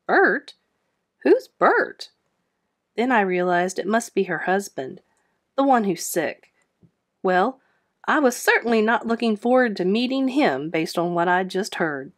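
A woman narrating a children's book aloud in an expressive storybook style. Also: medium pitch, normal speed.

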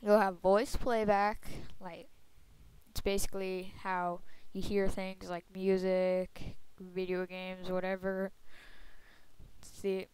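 A young woman with a soft voice giving a casual computer tutorial, chatty and full of fillers. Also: medium pitch, level tone, takes it slowly, slight background noise, rough recording. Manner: soft-spoken. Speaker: tutorial maker. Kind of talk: screen-recorded computer tutorial. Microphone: computer microphone.

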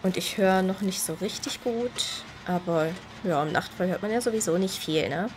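A woman's voice: mid-range voice, normal speed, a little hiss or hum. A woman with a stuffy, slightly nasal voice from a cold, chatting casually while she plays and explains game mechanics. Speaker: gaming video creator. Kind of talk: casual gameplay commentary.

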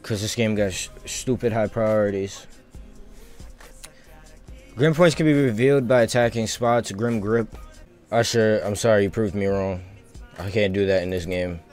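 A man's voice: fairly low pitch, fairly even intonation, moderate pace, pauses often, darkish tone. Slangy man streaming a game, music behind. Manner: slangy, swearing, muttering to himself. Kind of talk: casual live gameplay commentary. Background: music and game audio.

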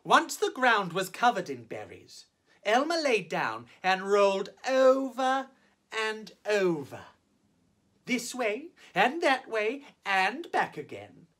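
A man performing as a drag storyteller, reading a children's picture book theatrically with exaggerated emphasis and drawn-out words. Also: sits high, sing-song, a bit bright. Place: small indoor set, on camera.

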